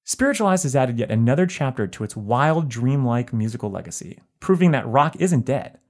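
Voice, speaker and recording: A man narrating a written album review in a measured, articulate way. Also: mid-range voice, big pitch swings. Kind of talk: scripted narration.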